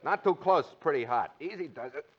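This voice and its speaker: A man's voice: a little high, animated, fairly quick, natural voice. Breezy, swooping radio comedy sidekick played by a man. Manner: wisecracking, blithe. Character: breezy, opportunistic wisecracking male friend. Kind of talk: 1940s radio comedy acting. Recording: dull, very noisy old radio recording.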